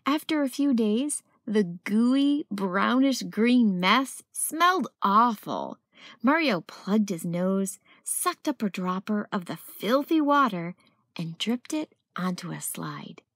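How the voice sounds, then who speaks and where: A woman narrating a children's picture book as a read-aloud storyteller, with expressive delivery. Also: mid-range voice, takes it slowly, dry recording, studio quality.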